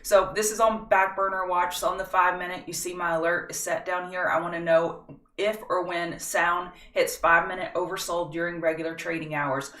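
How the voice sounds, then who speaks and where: A woman's voice: on the low side, little pitch movement, normal speed, a bit bright, far from the mic. A middle-aged woman hosts a live stock market stream, chatting with viewers in a folksy way. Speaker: stock market livestream host. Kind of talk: casual market analysis livestream. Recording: roomy echo, set back from the mic.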